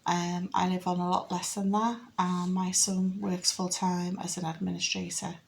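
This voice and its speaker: A woman's voice: on the low side, level tone, normal speed, gravelly. A woman in her fifties with a Liverpool accent, chatting casually and matter-of-factly as she gives a home vlog tour in a rambling way. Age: 50s. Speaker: home renovation vlogger. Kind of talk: casual house tour chat. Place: inside a house.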